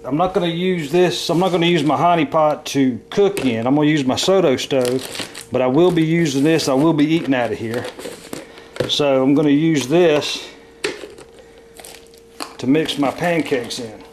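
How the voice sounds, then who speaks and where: A man with a hoarse voice from bronchitis, casually explaining camp food at home in a rambling, chatty way. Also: a little high, moderate pace, noisy background. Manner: rambling, chatty, self-correcting. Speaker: camping vlogger. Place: at home, indoors.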